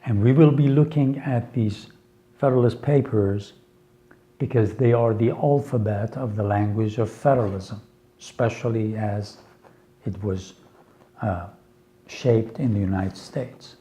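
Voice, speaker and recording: A man whose first language is Farsi, giving a slow, earnest lecture for English learners with many pauses and fillers. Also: on the low side, dark tone. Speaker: teacher.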